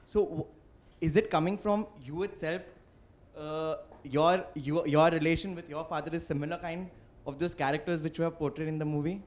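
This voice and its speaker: A man's voice: fairly high pitch, fairly even intonation, moderate pace. A man putting a hesitant question from the audience, restarting as he goes. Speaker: audience member asking a question.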